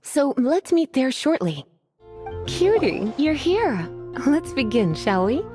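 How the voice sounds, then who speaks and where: A woman's voice: sits high, very animated, slow pace, over music, exaggerated voice. A woman voicing a languid, teasing librarian mage in a video game, who drawls, sighs often and calls others cutie.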